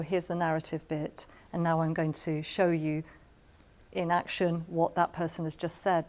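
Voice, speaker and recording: A woman's voice: on the low side, darkish tone. A woman radio drama producer giving explanatory, emphatic writing advice in an interview. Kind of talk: spoken advice to camera in an interview.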